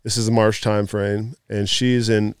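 Guy in his 30s, low smooth voice, dry close sound, tells stories w/ lots of laughs. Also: measured pace, dry recording. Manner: animated storyteller, laughs mid-story. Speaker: podcast guest, former athlete. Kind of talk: casual storytelling chat.

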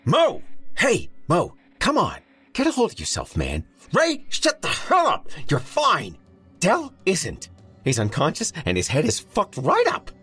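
A man's voice: sits low, big pitch swings, measured pace, creaky, pauses often, a bit bright, husky, faint music behind, pitched above the performer's own voice, heavily acted. A man voicing a tense, profane young man who urgently takes charge in a crisis in an audiobook story. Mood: snapping, commanding.

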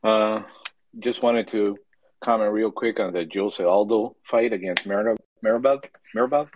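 A man calling in to a show with a question, halting and repeating words. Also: fairly low pitch, a little hiss or hum, low-quality recording. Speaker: caller. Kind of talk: call-in question.

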